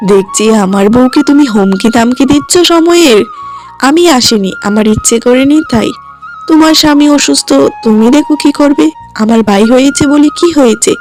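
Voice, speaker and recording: A woman voicing a selfish, sarcastic younger brother in a cartoon moral story, quick to blame others. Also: high voice, darkish tone, soft background music, natural voice. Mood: sarcastic, defiant. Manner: sarcastic, blaming. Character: selfish, defiant younger brother, greedy salaried man.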